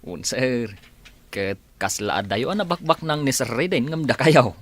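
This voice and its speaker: A man's voice: mid-range voice, expressive, moderate pace, lightly acted. A man with an Ilocano accent playing a cheeky, boastful driver in a radio comedy drama. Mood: tactless.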